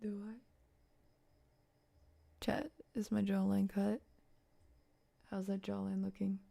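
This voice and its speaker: A soft-voiced woman chatting playfully and casually to viewers on an ASMR livestream, often dropping into a whisper. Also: mid-range voice, level tone, takes it slowly, gravelly. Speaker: ASMR live streamer.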